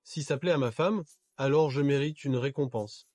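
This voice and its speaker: A man plays a composed young husband with a mellow, warm voice. He speaks calmly and teases gently. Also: medium pitch, normal speed, dry recording, studio quality, soft background music, natural voice. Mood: teasing. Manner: calm, composed, teasing. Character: calm, secretly rich young husband, teasing.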